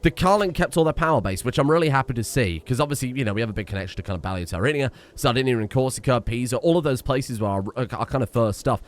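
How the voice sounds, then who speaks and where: A young man giving casual, excitable commentary on a strategy game, often exclaiming and restarting his sentences. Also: mid-range voice, fast, few pauses. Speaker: gaming streamer. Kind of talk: casual let's-play commentary.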